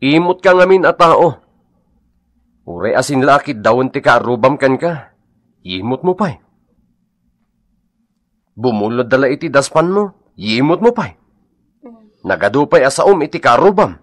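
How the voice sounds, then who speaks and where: A man's voice: medium pitch, lively intonation, unhurried, long pauses, dry recording, lightly acted. A man playing a blunt, scolding husband who lectures reproachfully in an Ilocano radio drama. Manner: preachy, reproachful.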